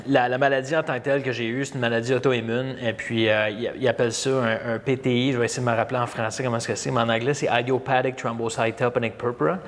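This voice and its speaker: A man in his thirties from Montreal with a Québécois accent, a podcast guest who tells his story in a halting way, with many fillers and repeats. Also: mid-range voice, flat delivery. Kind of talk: casual interview storytelling. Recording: long reverb, faint background noise.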